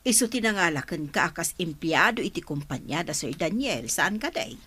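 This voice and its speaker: A woman's voice: lowish voice, sing-song, moderate pace, pushed and bright, breathy edge, exaggerated voice. A woman playing a concerned, questioning older mother in a radio drama.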